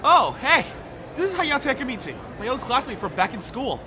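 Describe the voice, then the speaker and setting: A man's voice: very high voice, very animated, moderate pace, bright, forceful tone, a little hiss or hum, heavily acted. A man playing a cheeky, good-natured young professor in an audio drama, playful and bantering. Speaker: voice actor. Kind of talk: audio drama acting with first-person narration.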